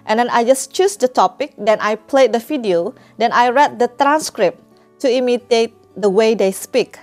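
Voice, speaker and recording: A woman's voice: mid-range voice, darkish tone. A woman in her thirties from Indonesia with an Indonesian accent, hosting a channel and teaching English in a friendly, anecdotal way. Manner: friendly, anecdotal, with easy laughs and restarts. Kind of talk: casual teaching talk.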